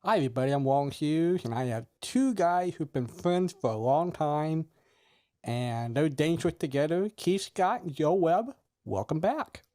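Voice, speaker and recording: A man's voice: a little high, expressive, normal speed, dark tone. A man hosting a casual interview, introducing his guests and putting questions to them. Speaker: host, interviewer.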